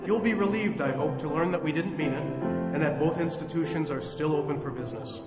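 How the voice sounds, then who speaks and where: Theatrical male radio host stage actor, grand and playful, higher register, echoey hall. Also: a little fast, loud music behind the voice, close to an everyday voice. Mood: playfully grand. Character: showman host signing off a Halloween radio hoax. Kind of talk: old-time theatrical radio sign-off.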